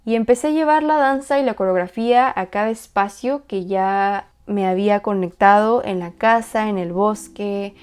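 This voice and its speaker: A woman dancer and choreographer calmly walking through how she built a dance video, speaking in a measured, reflective way with pauses. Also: mid-range voice, smooth. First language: Spanish. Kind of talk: explanatory walkthrough.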